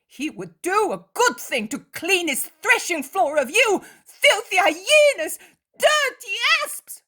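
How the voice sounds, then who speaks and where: A woman voicing a guilt-ridden, torn male disciple who pleads in high-strung outbursts. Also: big pitch swings, slow, sharp tone, full character voice. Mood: scornful.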